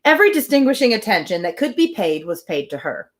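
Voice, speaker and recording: A woman's voice: medium pitch, very animated, fast. A woman hosting a live reading of a novel, reading aloud with chatty, wry asides. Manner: chatty, wry commentary between passages.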